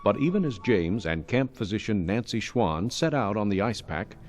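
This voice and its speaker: A man's voice: on the low side, sing-song, moderate pace. A man reading scripted narration as the narrator of a radio drama. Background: music.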